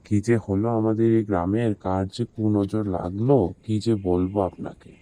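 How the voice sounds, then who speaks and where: A fearful, humble village servant man from a horror cartoon, acted by a man. His voice is lowish and extremely dark. He laments plaintively and defers to those he addresses. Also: steady tone, a little slow, natural voice. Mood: sorrowful, deferential. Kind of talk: horror cartoon story dubbing.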